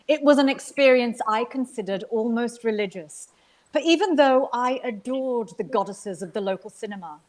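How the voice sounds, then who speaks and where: A woman from Sri Lanka reading literary fiction aloud as an actor, with measured, expressive storytelling. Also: medium pitch. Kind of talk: dramatic literary narration.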